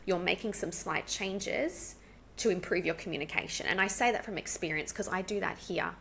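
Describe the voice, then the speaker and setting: An Australian woman, an English coach, talking fast and animated as a guest in a casual interview. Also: fairly low pitch, gravelly, a little hiss or hum, poor audio.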